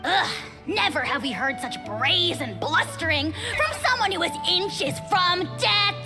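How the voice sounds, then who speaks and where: A tiny video game dragon lord, squeaks with enormous self-importance. The very high voice swoops about and cracks into gravel as it spits haughty insults, with music behind. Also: female voice, lively intonation, a little slow, exaggerated voice. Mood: haughty fury. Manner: pompous, insult-spewing, grandiose royal "we". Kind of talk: video game character acting.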